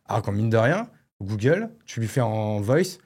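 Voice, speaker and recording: A man's voice: on the low side, sing-song, moderate pace, darkish tone, husky. A man hosting a podcast in casual, rambling talk, slangy and self-mocking, recorded in a studio set. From born in Paris, living in Bordeaux. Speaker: podcast host.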